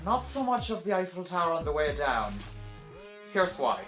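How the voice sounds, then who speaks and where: A young man telling a casual vlog story to camera in a dramatic, animated way, with sarcastic, self-deprecating asides. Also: high voice, moderate pace, faint music behind. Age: young adult. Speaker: video blogger telling a personal story. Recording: roomy echo.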